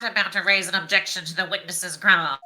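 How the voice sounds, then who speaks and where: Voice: mid-range voice, fairly even intonation, quick pace, sharp tone. A person voicing a timid, awkward male prosecutor with a nasal, pinched-nose voice in a live fan dub of a courtroom game. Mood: stiffly formal.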